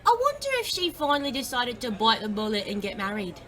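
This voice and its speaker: Nagging medieval villager female actor, high voice with big swings, folksy gossip delivery, comedic audio drama. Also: huge pitch swings, fast talker, fairly bright tone. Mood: gossipy. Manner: folksy, colloquial.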